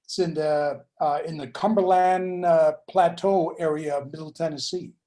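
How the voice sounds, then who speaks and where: Californian guy, kinda high voice, rambling story full of uhs and laughs. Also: steady tone, mellow. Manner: storytelling, laughing, halting with fillers. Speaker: meeting participant. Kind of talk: casual chat.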